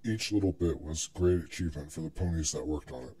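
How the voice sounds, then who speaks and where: Male narrator for scripted fiction, low register with a husky grain. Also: fast, dark tone.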